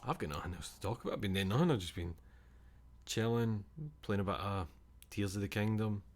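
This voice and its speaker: A man's voice: lowish voice, animated, fairly quick. A young Scottish man, a streamer, chatting casually and rambling, swearing now and then and reading chat messages aloud.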